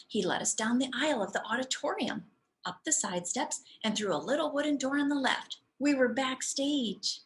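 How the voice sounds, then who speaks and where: Bright, high-voiced woman reading a storybook. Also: slight background noise. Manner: animated, demonstrative, addressing young listeners. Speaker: teacher reading storybooks to preschool children. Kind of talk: expressive children's storybook read-aloud.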